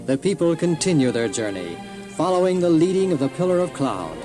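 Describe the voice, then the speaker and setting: Man, solemn narrator, stately delivery, slightly high voice, music behind. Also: very animated, fairly quick. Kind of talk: solemn scripted storybook narration.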